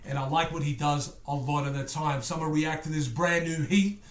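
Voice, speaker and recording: A man from England with an Australian accent, talking to his viewers in a chatty reaction video. His voice sits fairly high for a man, and he rambles and jokes as he goes. The room around him rings with a lot of echo. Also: fairly quick. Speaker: music reactor. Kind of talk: casual reaction video chat.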